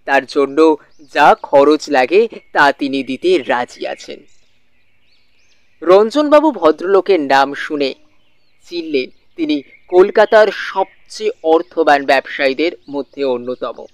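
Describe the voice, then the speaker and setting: A man narrating a literary suspense story in a measured way, with deliberate pauses. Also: on the high side, lively intonation, dry recording. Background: soft music.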